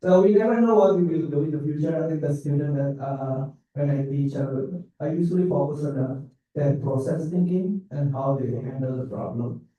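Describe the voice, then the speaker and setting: A man from Indonesia speaking on a panel, pausing and restarting phrases often in loose non-native grammar. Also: on the high side, mellow, muffled recording. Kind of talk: panel discussion. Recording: echoey hall, mic at a distance.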